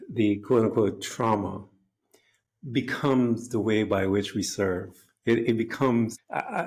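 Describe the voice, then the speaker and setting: Earnest male interviewer with a lowish voice, rambling reflective style. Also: darkish tone. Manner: earnest, rambling, fond of "if you will". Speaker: podcast host.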